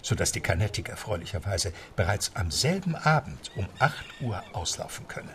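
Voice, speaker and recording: Deep-voiced storyteller, lively intonation. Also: male voice, moderate pace, slight background noise. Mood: composed storytelling. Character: male storyteller narrating an adventure tale. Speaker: narrator. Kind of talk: scripted literary radio-play narration.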